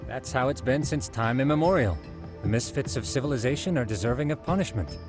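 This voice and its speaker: A man acting a bookish, earnest game detective delivering a briefing. His mid-pitched voice rises and falls freely as he explains with scholarly precision. Music plays clearly behind him. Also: animated, a little fast, natural voice. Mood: earnest, lecturing. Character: nerdy, earnest young male detective lecturing on science. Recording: background music under the dialogue.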